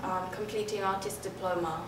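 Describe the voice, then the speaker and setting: A woman's voice: medium pitch, steady tone, fairly quick, recorded from a distance. A young woman from South Korea, a native Korean speaker with a British-influenced accent, modestly and hesitantly answering interview questions as a musician in a noisy, roomy hall. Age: young adult. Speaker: double bassist, concert soloist being interviewed. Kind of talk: onstage interview answers to an audience. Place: concert hall stage.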